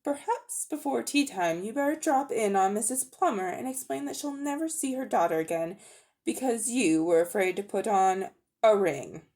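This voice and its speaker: A woman's voice: medium pitch, lively intonation, normal speed, a little mellow, voice pushed up, lightly acted. A woman voicing a haughty, cold-hearted older magician uncle in a dramatic storybook reading, condescending and coolly menacing. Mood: cold, goading.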